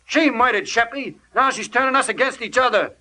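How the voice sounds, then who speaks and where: A cornered suspect in a 1940s radio crime play. His high, sharp voice jumps about as he protests urgently. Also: lively intonation, brisk, heavily acted. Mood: desperately pleading. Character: agitated, pleading male suspect accused of double-cross.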